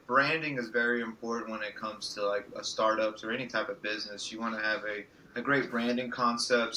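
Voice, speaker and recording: Bright-voiced man, earnest podcast guest. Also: fairly low pitch, a little hiss or hum, rough recording. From born in Mexico, raised in Mississippi. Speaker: guest entrepreneur. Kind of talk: podcast interview.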